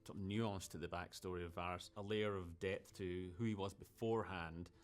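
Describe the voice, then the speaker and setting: A man's voice: low-pitched, fairly even intonation, normal speed. A man explaining creative decisions in a behind-the-scenes interview as a game developer.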